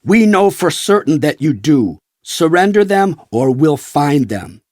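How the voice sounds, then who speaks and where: A stern federal agent, played by a male narrator, commanding and threatening in an audiobook memoir. The voice is husky and measured, with a roomy echo around it. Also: on the high side, very animated, clean studio sound. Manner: forceful, official, commanding.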